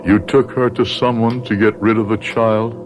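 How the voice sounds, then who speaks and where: An actor playing a grave middle-aged doctor in a radio drama, speaking in a measured, probing, controlled manner. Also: male voice, lowish voice, flat delivery, noisy background, lightly acted. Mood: stern. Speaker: doctor, narrator. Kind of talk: radio drama acting with narration.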